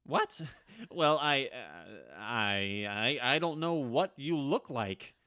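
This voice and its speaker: A man's voice: mid-range voice, sing-song, takes it slowly, sharp tone, clean tone, no room sound, clean studio sound, exaggerated voice. A man playing a wry, easily flustered storyteller who has lived for centuries, in a dramatic vintage-style radio play.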